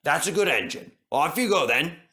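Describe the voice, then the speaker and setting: A man's voice: fairly high pitch, animated, moderate pace, sharp tone, voice pushed up. A man voicing a pompous, stern but fatherly railway boss with a formal, authoritative manner in a children's story. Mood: kindly firm.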